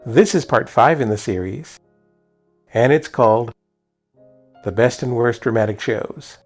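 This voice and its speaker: A man's voice: medium pitch, measured pace, no echo, soft background music. A man presenting an old-time radio show, chatting casually and introducing programmes.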